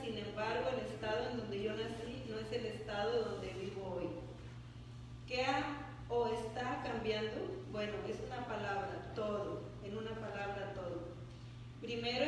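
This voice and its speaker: A woman with a fairly high voice addresses a congregation, reading a mission report aloud with asides. She stumbles over words and keeps tagging her sentences with a questioning "right?". The sound is a booming church hall heard from a distant mic, with steady background noise. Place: church sanctuary.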